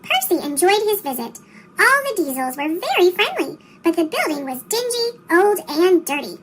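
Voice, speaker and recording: A woman's voice: very high voice, big pitch swings, normal speed, heavy background noise. A woman reading a children's story aloud, her voice turned into a sped-up, childlike chipmunk sound. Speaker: storybook reader.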